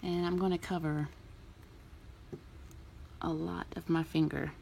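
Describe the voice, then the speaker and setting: A woman in a relaxed, chatty way explaining a nail art tutorial, with plenty of ums. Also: lowish voice, unhurried, gravelly, a little hiss or hum. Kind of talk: casual tutorial talk.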